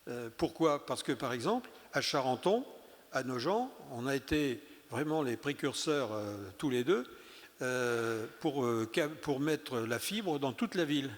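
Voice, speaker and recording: A man's voice: mid-range voice, expressive, a little slow. A man giving a round-table speech as an elected leader, emphatic, with many restarts and hesitations. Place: conference hall. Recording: long hall reverb.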